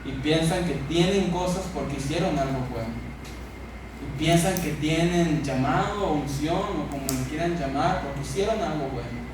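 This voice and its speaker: Mexican guy preaching, high voice, super echoey room, repeats stuff for emphasis. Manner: emphatic, repeats phrases, pauses for effect. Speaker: pastor preaching to a congregation. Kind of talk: sermon. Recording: echoey church hall, distant mic, noisy.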